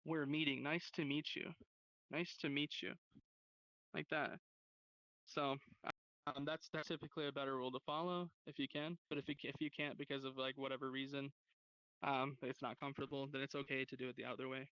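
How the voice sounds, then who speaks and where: Bright-voiced casual young-sounding male teacher. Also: medium pitch, flat delivery, a little fast, clean tone, no echo. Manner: casual, rambling, slangy. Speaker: sign language class teacher. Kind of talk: informal lesson. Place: online virtual class hub. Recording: dull lo-fi online voice chat.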